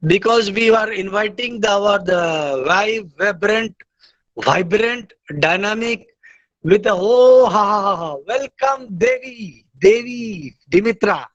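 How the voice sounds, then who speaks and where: A man from India hosting an online community meetup, enthusiastic and halting, often repeating words. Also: high-pitched, fairly bright tone, no room sound, dull audio. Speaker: meeting host.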